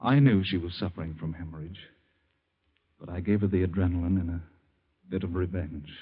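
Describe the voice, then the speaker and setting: An actor playing a tormented man in a 1940s radio drama, reading solemnly and haltingly. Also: sits low, breathy edge. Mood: tormented, grave. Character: grave man reading a tormented suicide note. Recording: tinny, narrow old radio sound.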